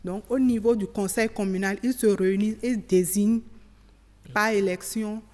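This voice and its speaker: A woman giving measured, explanatory interview answers as an expert, often rephrasing as she goes. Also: medium pitch, fairly even intonation, a little mellow. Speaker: expert interviewee on local government. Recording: reverberant hall sound.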